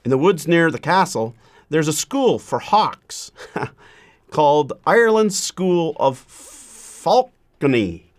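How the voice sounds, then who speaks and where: A man reading a children's story aloud in a measured, deliberate way, pausing often between phrases. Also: fairly high pitch, sing-song.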